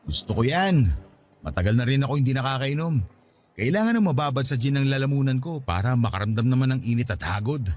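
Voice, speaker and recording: A man's voice: mid-range voice, expressive, quick pace, darkish tone, lightly acted. A man in a radio drama playing an upbeat boyfriend in his mid-thirties, breezy and a bit defensive. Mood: cheerful. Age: 30s.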